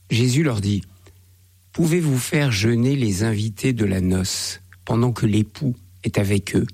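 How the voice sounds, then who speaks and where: Husky Frenchman reading scripture solemnly. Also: mid-range voice, a little mellow. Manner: measured, solemn.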